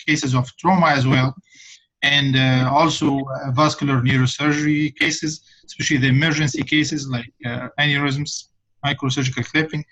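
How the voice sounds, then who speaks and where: A man's voice: mid-range voice, pushed and bright. A man from Egypt, a neurosurgeon, introducing himself on a panel over a call, hesitant and full of fillers. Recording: dull lo-fi call audio.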